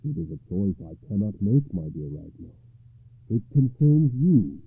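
A man's voice: lowish voice, big pitch swings, measured pace, creaky, slightly rough, dry recording, full character voice. A man voicing a loyal, courteous knight who speaks earnestly and with courtly measure in a told legend. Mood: humble, earnest. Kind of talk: storytelling character voice. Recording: muffled phone-like narrow-band sound.

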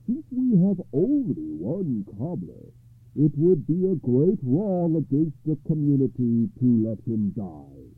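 A performer playing a solemn small-town judge in a comic folk tale, measured, weighty and pompous. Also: mid-range voice, huge pitch swings, husky, dry recording, full character voice. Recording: thin phone-like sound.

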